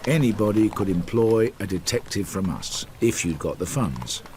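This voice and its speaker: An actor playing a reflective Victorian detective, narrating a radio drama in clipped, understated phrases. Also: male voice, lowish voice, animated, moderate pace, a little mellow, a little hiss or hum, lightly acted. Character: reflective Victorian detective recalling an old case.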